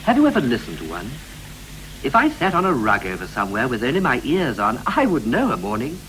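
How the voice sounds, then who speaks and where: A theatrical husband, looks back with restless longing in a 1960s radio drama. His mid-pitched voice swings very widely through clipped, poetic phrases, over a faintly humming old recording. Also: huge pitch swings, a little fast, fairly bright tone, slight background noise, low-quality recording. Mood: brisk, declamatory. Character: John, restless husband wistfully recalling youth and marriage. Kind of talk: 1960s BBC radio play, poetic stylised dialogue.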